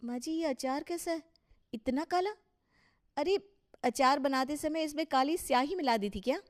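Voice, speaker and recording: Woman acting a high-pitched, cheeky young daughter-in-law. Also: normal speed, natural voice. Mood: curious, mocking. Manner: teasing, questioning. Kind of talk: Hindi cartoon story dialogue.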